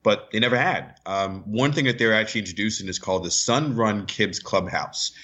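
Male radio guest, sports analyst, lower pitch, bright edge, explanatory and chatty, lo-fi sound. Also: brisk.

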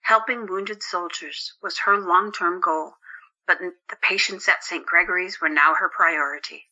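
A woman's voice: lowish voice, normal speed, pushed and bright, muffled recording. A woman reading scripted narration as the narrator of a drama. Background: music.

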